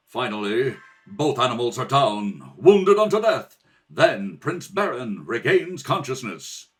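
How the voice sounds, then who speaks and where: A man's voice: mid-range voice, sing-song, slow. A man narrating an adventure radio serial in a theatrical, emphatic scripted style. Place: kitchen table at home.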